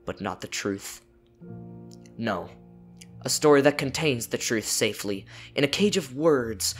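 Male narrator, lower register, theatrical dramatic poetry reading with deliberate pauses, music underneath. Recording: music behind the voice.

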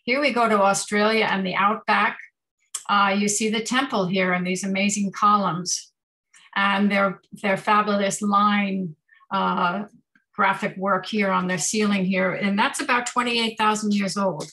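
A woman's voice: medium pitch, little pitch movement, a little slow, pushed and bright, low-quality recording. A woman artist giving informal commentary on art slides over a video call.

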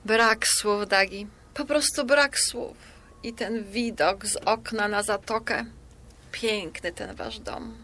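An actress in a radio drama playing a modest, self-doubting Polish pensioner around sixty, speaking in a hesitant, demurring, conversational way. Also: female voice, mid-range voice, takes it slowly, bright, forceful tone, a little hiss or hum. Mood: awed.

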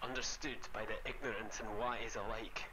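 A man with a Scottish accent playing a grave, ominous horror game narrator, speaking solemnly and deliberately in literary lines. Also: fairly low pitch, steady tone, sharp tone, rough recording.

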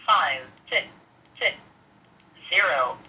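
A synthetic voice prompt of unclear sex, reading out single words and digits flatly through a small radio speaker. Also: mid-range voice, drawn-out words, husky. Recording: noisy small speaker with clicks.

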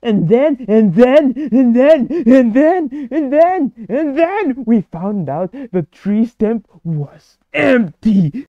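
A man's voice: very high voice, animated, slow, few pauses, dry recording, voice pushed up, full character voice. Chatty game child, a little girl, read by a man in his own voice; muffled, dry. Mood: excited.

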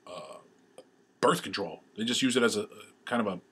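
A man co-hosting a podcast at a kitchen table, giving his views in a halting way and repeating words as he goes. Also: lowish voice, expressive, sharp tone, slightly rough. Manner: hesitant, repeats words when giving opinions. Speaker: podcast co-host. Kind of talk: casual chat. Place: kitchen table at home, indoors.

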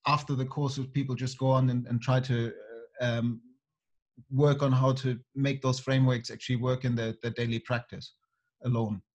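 A man's voice: mid-range voice, level tone, moderate pace, a little mellow. Man, host, hesitant restarts, muffled lo-fi call sound, home office. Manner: hesitant, restarts phrases while asking questions. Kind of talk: conversational video call interview. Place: home office with bookshelves, indoors. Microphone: webcam on video call. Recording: dull lo-fi call audio.

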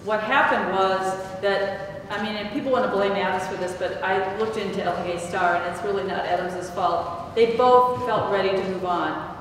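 A woman's voice: medium pitch, steady tone, moderate pace, few pauses. Older woman, panel speaker, chatty with restarts, heard from a distance in a big echoey hall with noise. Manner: conversational, restarts and self-corrections. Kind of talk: answering audience questions on a panel. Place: large echoey hall with stone walls. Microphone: table mic, picked up from a distance.

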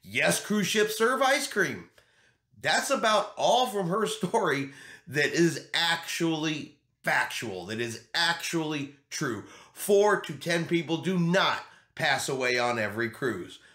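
A man's voice: on the high side, lively intonation, slow pace, pushed and bright. A middle-aged man explaining things to camera in an emphatic way, repeating his key points as a vlog host. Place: small home studio room, indoors. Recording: roomy indoor echo.

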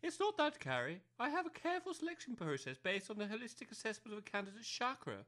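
A woman's voice: on the high side, big pitch swings, quick pace, fairly bright tone. A woman playing a pompous, snobbish new-age academy head in an amateur comedy audio drama, speaking precisely in lofty jargon. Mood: haughty.

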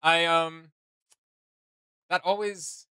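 A young man gives casual reaction commentary. His voice is bright and forward, a little high for a man, in a dry, close-sounding room. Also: fairly even intonation, very slow, clean tone, no room sound. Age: young adult. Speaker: reaction video host. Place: small indoor room with gaming setup.

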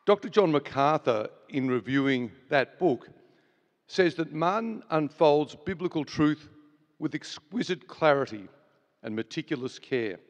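A man's voice: on the high side, animated, measured pace, lo-fi sound. A man hosting a church event, welcoming the crowd and leading an opening prayer, earnest and full of small restarts. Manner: earnest, with frequent fillers and restarts. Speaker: event host. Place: large hall with live audience. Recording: reverberant large hall.

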